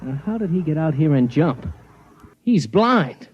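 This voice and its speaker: An actor playing an agitated, bossy spaceship officer with an urgent, exclamatory delivery in vintage radio drama style. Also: male voice, a little high, big pitch swings, unhurried, muffled recording, over loud music. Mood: urgent concern. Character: agitated, bossy ship's officer minding a patient. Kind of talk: 1950s radio drama acting. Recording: noisy vintage radio, often off-mic.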